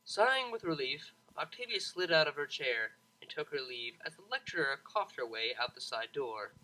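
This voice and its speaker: A storyteller reads scripted fiction aloud. The voice sits at a middling pitch and is bright and crisp in tone. The delivery is expressive, with plenty of melodic movement. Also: normal speed, poor audio. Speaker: fan fiction audiobook narrator.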